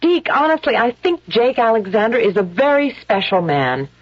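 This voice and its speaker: An actress playing a persuasive, sweet-talking young wife in an intimate radio drama. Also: female voice, fairly high pitch, sing-song, normal speed, dry recording. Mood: earnest, pleading. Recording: dull old radio drama recording.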